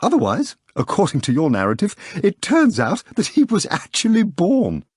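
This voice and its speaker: Brief: a man playing a lecturing editor in a storybook reading, pitched high, husky edge. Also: huge pitch swings, moderate pace, darkish tone, dry recording, studio quality, full character voice. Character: learned middle-aged male editor lecturing.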